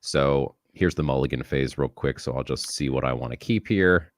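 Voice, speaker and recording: A man's voice: rumbling low, normal speed, no echo, studio quality. A man with a head cold, sounding stuffy, chatting easily with a host while playing a game. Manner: easygoing, laughs often. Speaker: guest streamer. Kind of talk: casual chat while playing.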